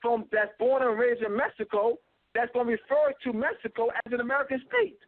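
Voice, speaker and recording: A man giving an insistent talk, stopping often to fill pauses with ums and uhs, heard over a phone line. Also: very high-pitched, no room sound. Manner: insistent, repetitive, many filler ums and uhs. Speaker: guest speaker. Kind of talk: call-in lecture, talking to a host. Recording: tinny phone line, dry.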